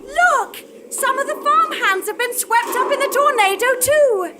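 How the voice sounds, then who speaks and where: A woman playing a gentle, anxious young farm woman with fretful melodrama in a live comedy radio play. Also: very high-pitched, lively intonation, a little slow, heavy background noise. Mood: alarmed. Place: theatre stage, live performance.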